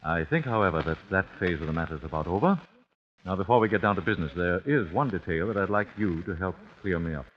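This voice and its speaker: An actor playing a wealthy old host in an old-time radio crime drama, formal, courteous and quietly menacing. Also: male voice, low voice, expressive. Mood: formal, composed. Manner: formal, measured, polite. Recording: muffled, noisy old radio drama recording.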